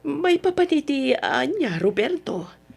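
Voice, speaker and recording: A woman with an Ilocano Filipino accent acting a gentle, concerned middle-aged wife and mother in an old-time radio drama, soothing in manner. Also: sits high, very animated, slow, vocal fry, full character voice.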